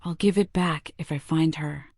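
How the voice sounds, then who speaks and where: A woman's voice: sits low, normal speed, a little mellow, no echo, clean studio sound. A woman narrating gameplay and speaking cryptic lines for her player character in a theatrical manner. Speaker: gaming creator voicing her player character.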